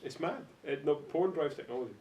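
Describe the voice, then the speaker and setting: Fast-talking man, roomy sound. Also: a little mellow. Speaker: podcast panellist. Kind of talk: casual group chat. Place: echoey room. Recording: roomy echo, faint noise and rumble.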